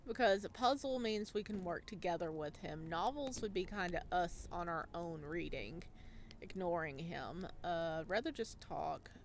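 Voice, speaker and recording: A woman's voice: lowish voice, lively intonation, a little slow, a little hiss or hum. A woman playing a visual novel, reading it aloud and chatting with reactions as she goes. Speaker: video game streamer.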